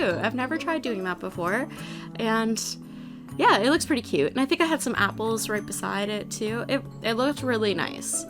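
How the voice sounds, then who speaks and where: Young woman, nasal, stuffed up from allergies, casual rambling chatter, mid pitch, music playing underneath. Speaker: video creator. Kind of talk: casual commentary over a building video. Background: background music.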